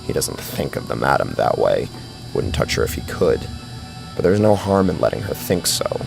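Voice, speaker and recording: A man's voice: rumbling low, very animated, moderate pace, vocal fry, over music. A man narrating a scripted horror story in a dramatic style.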